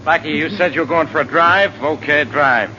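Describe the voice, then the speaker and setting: A sarcastic police inspector from 1940s radio drama. His voice is fairly high and brusque. The recording is muffled and noisy. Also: normal speed, pushed and bright. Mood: demanding.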